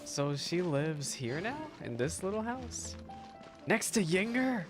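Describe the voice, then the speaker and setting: Casual male gamer over clear background music. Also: sits high, very animated, slow pace. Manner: reacts out loud, repeats himself for emphasis. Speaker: gaming reaction streamer. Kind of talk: casual playthrough commentary, sometimes reading game text aloud.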